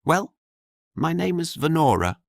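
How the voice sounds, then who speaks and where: A man voicing a tall, forceful young maidservant with a rather deep, harsh voice and a blunt, sharp-tongued manner. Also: sing-song, measured pace, gravelly, no echo, studio quality, full character voice.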